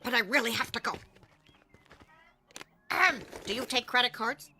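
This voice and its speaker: A woman's voice: on the high side, moderate pace, bright, forceful tone, a little hiss or hum. A woman playing a weary, sardonic schoolteacher in a cartoon, with a dry, mock-polite, theatrical delivery. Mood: weary, exasperated. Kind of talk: animated cartoon acting. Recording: music behind the voice.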